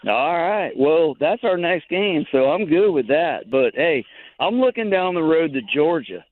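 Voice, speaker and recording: A man calling in to sports radio, chatty and halting as he asks the host questions. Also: a little high, lively intonation, dry recording. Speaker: caller. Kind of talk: phone-in sports chat. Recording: dry phone line.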